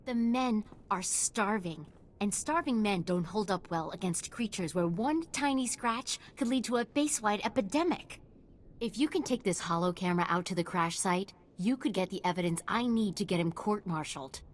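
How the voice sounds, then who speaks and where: An actress playing a principled, plain-spoken military officer in a video game, frank and sardonic. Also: female voice, mid-range voice, expressive, normal speed, slight background noise, close to an everyday voice. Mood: indignant, sardonic.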